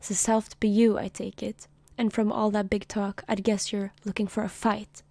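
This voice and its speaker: A woman's voice: mid-range voice, flat delivery, moderate pace, dark tone, no echo, close to an everyday voice. A woman playing a brash, cocky male god. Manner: cocky, wisecracking. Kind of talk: comic read aloud on stream.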